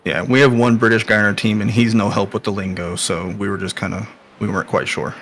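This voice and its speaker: Lowish-voiced Midwestern American man, joking casually. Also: level tone, fast talker, a bit bright. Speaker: sim racing driver guest.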